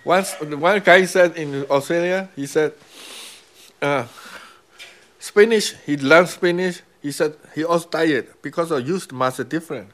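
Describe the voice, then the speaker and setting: A man's voice: on the high side, measured pace. Burmese-accented man teaching meditation. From Myanmar. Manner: broken English grammar, repeats key words, asks "right?". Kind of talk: teaching dialogue with students.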